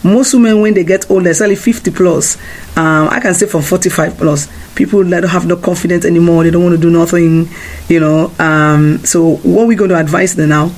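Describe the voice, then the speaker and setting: A woman's voice: on the low side, steady tone, a little fast, a little mellow. A woman presenting a radio interview, giving emphatic advice and often restarting her phrases. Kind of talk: casual radio interview chat.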